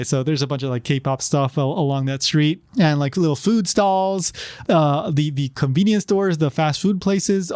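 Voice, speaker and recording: A middle-aged man talks through a solo podcast episode in a loose, rambling way, full of fillers and restarts. His voice sits a little high for a man. The sound is dry and close, with no room around it. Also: darkish tone, no echo. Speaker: podcast host. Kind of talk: casual solo podcast talk.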